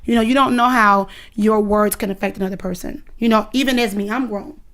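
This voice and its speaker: A woman's voice: mid-range voice, fairly even intonation, fairly quick, fairly bright tone. Earnest woman dance coach in her thirties. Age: 30s. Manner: earnest, emphatic, protective. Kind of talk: interview answers.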